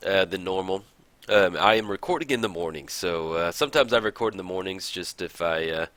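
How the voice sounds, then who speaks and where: A man casually commenting on his own card game match, thinking aloud with many fillers, his voice a bit raspy in the morning. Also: sits low, brisk, a bit bright, no echo. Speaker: card game video host.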